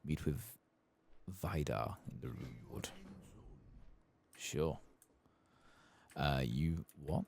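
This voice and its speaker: A soft-spoken man quietly commentating a video game in ASMR style, murmuring as if to himself. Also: a bass voice, drawn-out words, vocal fry, pauses often, a little hiss or hum. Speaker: ASMR gaming streamer.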